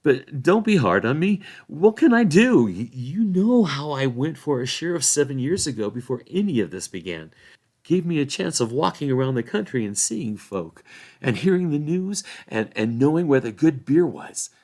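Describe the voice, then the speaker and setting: A man's voice: medium pitch, big pitch swings, normal speed, darkish tone, pitched above the performer's own voice. A man voicing a reluctant small hobbit lawman with rustic phrasing and a pleading, confiding manner in a storybook reading. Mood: pleading, apologetic.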